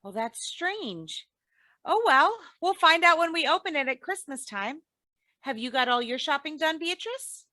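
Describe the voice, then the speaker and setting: A woman plays a patient, kindly wife from an old radio comedy. Her voice is high and bright, genteel and gently exasperated, heard dry and close. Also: sing-song, unhurried, dry recording, exaggerated voice. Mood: curious.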